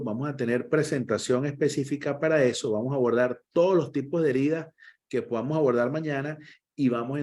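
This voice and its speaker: A man, a first aid instructor, closing an online class over a video call in a lively, encouraging way and repeating himself often. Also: medium pitch.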